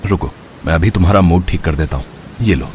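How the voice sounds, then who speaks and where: A man playing a protective young husband and son in a family story, with a brisk, firm manner. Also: deep voice, a little mellow, slight background noise, close to an everyday voice. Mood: playful.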